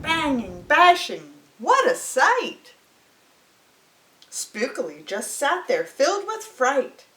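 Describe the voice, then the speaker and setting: Animated high-voiced woman reading a children's story. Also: echoey room, rough recording. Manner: dramatic, deliberate storytelling with stretched words. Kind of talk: expressive picture-book read-aloud.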